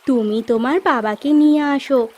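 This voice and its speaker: A woman voicing a kind, wise magical golden fish in a cartoon, gentle and reassuring. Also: sits high, moderate pace. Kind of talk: cartoon voice acting.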